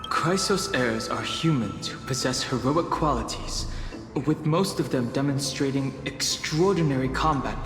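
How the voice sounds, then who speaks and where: An actor playing a calm, analytical young traveler in a fantasy video game, speaking in a measured, contemplative way. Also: male voice, mid-range voice, close to an everyday voice. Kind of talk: English-voiced fantasy game dialogue and monologue. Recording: echoey reverb, music underneath.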